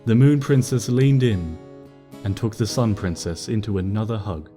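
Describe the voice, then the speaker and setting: A man calmly narrating a storybook in an unhurried way. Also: on the low side. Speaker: story reader. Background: soft music.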